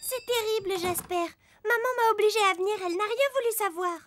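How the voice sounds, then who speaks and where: A woman voicing an expressive young girl in a children's cartoon. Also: extremely high pitch, brisk. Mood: sulky.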